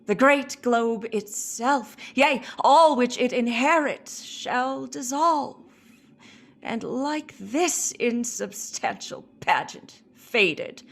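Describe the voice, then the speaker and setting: A woman playing a weary but commanding old magician, speaking in measured declamation in a Shakespearean radio play. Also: a little high, creaky, a bit bright, heavily acted. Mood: solemn, reflective.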